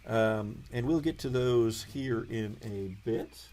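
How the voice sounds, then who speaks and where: A man acting as game master and host, improvising narration and explaining rules in a halting, thinking-aloud way. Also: mid-range voice, a little mellow.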